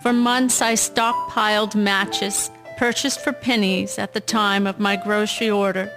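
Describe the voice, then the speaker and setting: A woman's voice: medium pitch, little pitch movement, unhurried, music in the background, natural voice, rough recording. Desperate, grieving mother, acted by a woman. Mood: cold confession. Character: grief-stricken, desperate mother in 1912 rural Canada. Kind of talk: scripted radio-drama acting.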